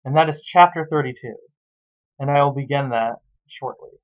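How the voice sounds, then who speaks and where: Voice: medium pitch, normal speed, highs cut off, faint music behind. A sarcastic, exasperated reviewer who swears freely while giving casual commentary on a story.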